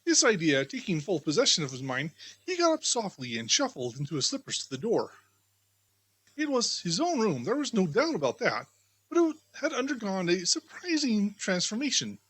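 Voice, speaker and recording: A woman storybook reader giving scripted, expressive audiobook narration of a classic tale. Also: medium pitch, moderate pace, breathy edge, low-quality recording.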